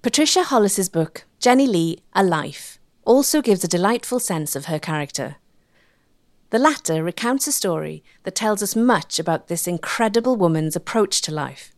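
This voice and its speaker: A woman narrates a documentary from a script. Her pitch moves around expressively, and the sound is dry and close. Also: lively intonation, normal speed, dry recording.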